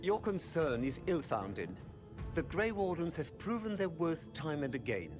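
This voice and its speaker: A lofty, condescending ambassador, measured yet expressive, his pitch rising and dipping as he talks down to others. Music sits clearly under him. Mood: haughty. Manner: haughty, condescending. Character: haughty male imperial ambassador, condescending. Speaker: diplomat.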